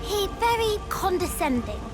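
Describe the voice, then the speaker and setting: Cute little game critter girl, super high squeaky, broken grammar, wildly bouncy pitch. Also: very animated, normal speed, over music. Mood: indignant. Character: cute small food-loving female creature, third-person talker. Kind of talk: video game cutscene acting.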